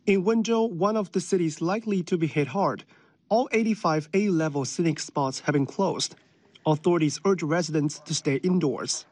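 A male reporter delivering a formal news report in a measured way. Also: on the high side, a little mellow.

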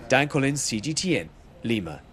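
A man's voice: medium pitch, big pitch swings, moderate pace, fairly bright tone, slightly rough. Man narrating a news report.

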